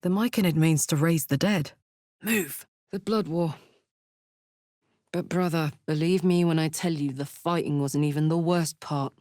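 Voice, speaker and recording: A woman's voice: low-pitched, measured pace, darkish tone, no room sound, studio quality. A woman voicing a brash, big-hearted, battle-hardened warrior woman in a video game, blunt and slangy. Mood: grim, tough. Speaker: video game character voice actor. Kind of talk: video game character voice lines.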